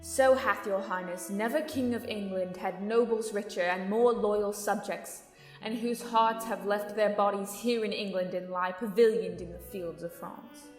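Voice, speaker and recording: A woman plays a noble courtier in a Shakespeare audio drama. Formal, deliberate verse comes at a middle pitch, with heavy echo and music behind. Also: steady tone, lightly acted. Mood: loyal, urging. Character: earnest noble courtier counselling a king. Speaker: amateur actor in student audio drama. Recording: reverberant hall, music underneath.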